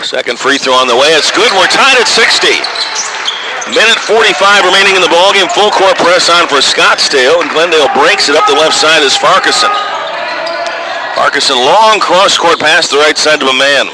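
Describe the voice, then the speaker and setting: A man's voice: on the high side, animated, fairly quick. A man calling live basketball play-by-play for radio, in clipped running descriptions of the action, score and clock. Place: basketball gym during a game. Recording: noisy live gym broadcast.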